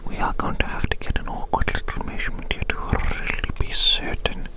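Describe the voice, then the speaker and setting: A person performing an ASMR roleplay entirely in whispers, meticulous and unhurried, softly repeating phrases. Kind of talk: ASMR roleplay, whispered measuring and note-taking.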